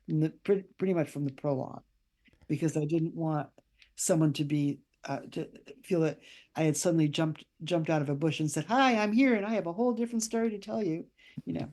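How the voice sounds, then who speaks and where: A woman's voice: sits low, brisk, a little mellow. Older woman in conversational interview. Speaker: guest author and writing teacher. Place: remote video call.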